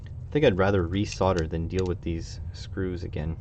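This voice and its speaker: A man's voice: low voice, fairly even intonation, moderate pace, a little mellow. A man with a Canadian accent chatting casually on a live stream, rambling and thinking aloud as he works on a hobby project. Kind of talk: casual live stream chat while working. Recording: dull, slightly lo-fi with faint noise.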